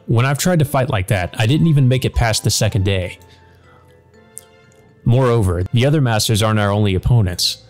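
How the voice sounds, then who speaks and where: Low, brooding mage read by a man. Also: a little mellow, close to an everyday voice. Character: proud, frustrated combat mage, brooding and serious. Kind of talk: visual novel first-person monologue read aloud.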